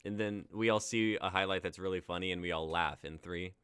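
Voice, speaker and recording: Bright, low-voiced young man hosting. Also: fairly quick, clear, resonant tone, no echo, studio quality. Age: 20s. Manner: jokey, informal. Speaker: host of a live-stream reading show. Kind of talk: casual live-stream hosting.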